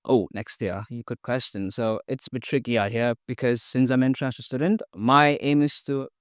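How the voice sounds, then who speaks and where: A young man from India speaks in a smooth, clear voice, recorded close and dry with no room sound. He talks casually and haltingly, full of ums and restarts, as he tells his story to a podcast host. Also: mid-range voice, fairly even intonation, no room sound. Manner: hesitant, many fillers and restarts. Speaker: podcast guest. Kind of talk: casual interview, sharing personal story.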